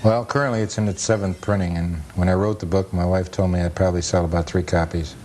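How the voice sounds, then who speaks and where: Low, mellow American man explaining plainly. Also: steady tone, fast. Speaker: interview guest, photo historian and author. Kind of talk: casual explanatory talk. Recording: noisy 1980s cable TV recording.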